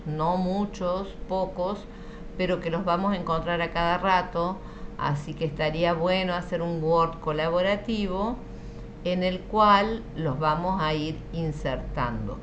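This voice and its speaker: Slow, low-voiced Argentine woman teaching. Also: noisy background. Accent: Argentine Spanish. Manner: patient, explanatory, checks understanding with frequent "¿sí?". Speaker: French teacher. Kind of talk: online class lecture.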